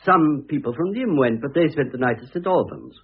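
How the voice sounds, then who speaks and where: Give me a blustering, husky British gentleman on old radio. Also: a little high, animated, a little fast. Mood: amused, conspiratorial. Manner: blustering, chatty, theatrical. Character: peevish, gossipy, jaunty gentleman admirer and bachelor uncle. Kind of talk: classic radio drama acting. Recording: muffled, tinny old radio recording.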